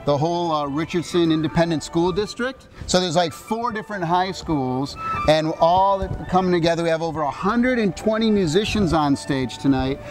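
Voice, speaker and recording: A middle-aged man with a slightly high voice talks energetically and playfully. He jokes around and acts out quotes with lively bursts. A rehearsal plays in the background. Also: moderate pace, music in the background, low-quality recording. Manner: energetic, jokey, animated quotes. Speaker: show creator. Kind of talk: casual interview at a rehearsal. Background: orchestra rehearsal.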